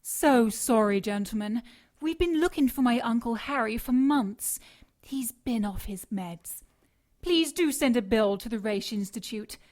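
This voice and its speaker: Casting: a dangerous young sharpshooter for audio drama, fairly high voice, cool and mock-polite. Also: female voice, animated, a little slow, a little mellow.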